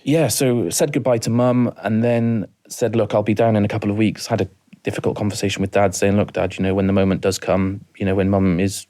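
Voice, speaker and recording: A Welsh man in his thirties with a very deep voice that barely moves in pitch. He talks softly and haltingly, often repeating a word before going on. He is a guest on a talk podcast telling a hard story from his own life. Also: little pitch movement. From rural mid Wales. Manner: halting, repeats words, soft-spoken. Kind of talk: emotional personal storytelling.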